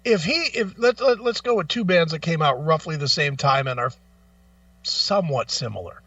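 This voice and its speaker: A man's voice: fairly high pitch, moderate pace, fairly bright tone, no room sound, far from the mic, dull audio. A man co-hosting a radio show in casual, opinionated chat, blunt and freely swearing. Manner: blunt, profane.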